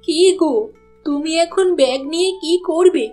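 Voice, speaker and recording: A woman's voice: high voice, normal speed, darkish tone, close to an everyday voice. A woman voicing a caring village wife and mother in a homely, indulgent cartoon style. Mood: curious. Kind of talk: cartoon dialogue voice acting. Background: background music.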